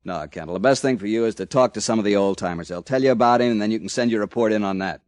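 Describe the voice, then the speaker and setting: A blunt frontier newspaperman, played by a man in an old radio western, talking in a gruff, casual drawl. His voice is low and recorded dry and close. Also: very fast, a little mellow, no echo, close to an everyday voice. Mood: wary. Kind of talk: 1950s radio drama acting.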